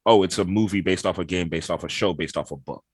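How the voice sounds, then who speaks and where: A man with a lowish voice talks quickly in a casual panel conversation. The sound is dry, with no room echo. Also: breathy edge. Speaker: podcast panelist. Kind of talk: casual chat.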